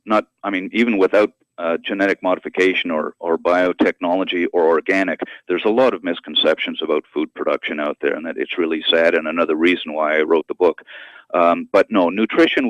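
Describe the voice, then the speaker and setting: A man speaking as an expert guest in a radio interview, explaining in a measured, educational manner. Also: deep voice, a bit bright, no room sound, poor audio. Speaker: guest expert, university professor and author.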